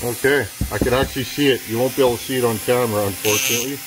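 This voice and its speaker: A man's voice: medium pitch, racing. Chatty man in a noisy workshop. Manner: relaxed, chatty, self-deprecating asides while working. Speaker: chainsaw builder demonstrating porting. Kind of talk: casual hands-on workshop tutorial. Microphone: camera close to workbench, speaker off camera. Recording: noisy workshop with power tool. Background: rotary grinding tool whirring.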